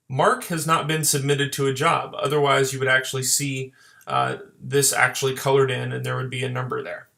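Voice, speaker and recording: Gravelly-edged man narrating a software tutorial. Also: medium pitch. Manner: plain, step-by-step explaining. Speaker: software trainer. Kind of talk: instructional screen tutorial narration. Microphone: voice-over for screen recording.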